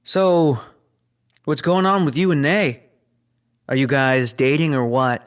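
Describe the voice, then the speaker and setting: A chummy spaceship crew dude, bit guarded, casual natural sci-fi audio drama talk. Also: male voice, fairly high pitch, takes it slowly. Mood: teasing, chatty. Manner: casual, conversational. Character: guarded but chummy spaceship crewman, adult man.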